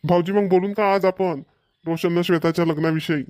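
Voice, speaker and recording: Village family man played by a male actor, Varhadi rural accent, slightly high voice, chatty, contented and emphatic, for a cartoon family comedy. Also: steady tone, brisk, a little mellow, clear, resonant tone, natural voice. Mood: earnest. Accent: rural Maharashtrian, Varhadi.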